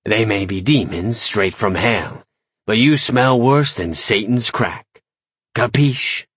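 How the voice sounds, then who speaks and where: A man's voice: fairly low pitch, slow, slightly rough, dry recording. A man voicing a cocky, smug warlock adventurer with a swaggering, slangy delivery, in an audiobook.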